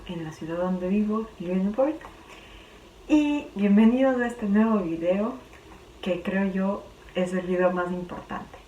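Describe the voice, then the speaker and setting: A young woman from Ecuador with a Quito accent, talking chattily in a personal vlog and sometimes pausing to search for words. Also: lowish voice, a little mellow. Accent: Quito Ecuadorian Spanish. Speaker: vlogger. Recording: roomy, distant, faint background hum.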